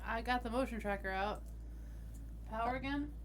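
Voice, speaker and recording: Woman player, very high voice, sarcastic and foul-mouthed in-character game banter. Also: normal speed, a loud crowd. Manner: sarcastic, sweary. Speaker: tabletop roleplaying game player.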